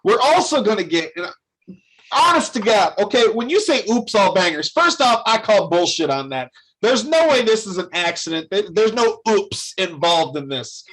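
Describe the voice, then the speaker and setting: Excitable, husky-voiced podcast co-host. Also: medium pitch, normal speed, rough recording. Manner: excitable, profane. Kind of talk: podcast chat.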